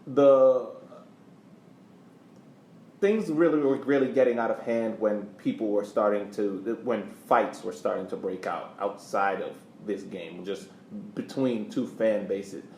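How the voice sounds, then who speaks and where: A man with a fairly deep voice hosts a casual sports podcast. He speaks conversationally in a noticeably echoey room, with faint noise behind him. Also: measured pace, darkish tone. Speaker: podcast host. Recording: roomy echo, background noise.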